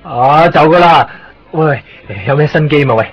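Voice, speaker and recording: An actor playing an evasive, awkward young video gamer with a Hong Kong Cantonese accent in a radio drama. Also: male voice, a little high, moderate pace, close to an everyday voice. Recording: dull band-limited radio broadcast.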